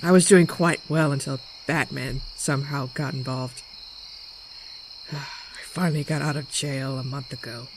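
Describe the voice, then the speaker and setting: A woman's voice: extremely low pitch, fairly even intonation, a little slow, slight background noise, poor audio. A woman acting a tough, scornful woman in her seventies, a domineering crime matriarch with sardonic, theatrical delivery in a motion comic. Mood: grim recounting.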